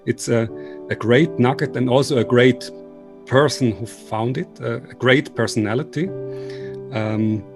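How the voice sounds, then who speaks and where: Swiss guy doing an interview, polite n earnest, halting english, mid voice, faint music behind. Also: medium pitch. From Switzerland. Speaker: interviewer and video series host. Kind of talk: informal remote interview.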